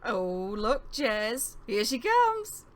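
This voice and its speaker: A woman reading an audiobook, voicing an excitable young male friend in urgent, exclamatory dialogue. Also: extremely slow, full character voice. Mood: excited. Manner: exclamatory, urgent, voice pushed high. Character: Talgith, excitable young male friend, teasing, shrieking in panic.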